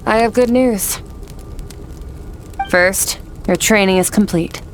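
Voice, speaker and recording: A woman's voice: medium pitch, slow, slight background noise, close to an everyday voice, low-quality recording. A woman playing a cool, reserved warrior mentor in a fantasy game, composed and matter-of-fact. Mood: serious, instructive. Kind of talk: game voice acting.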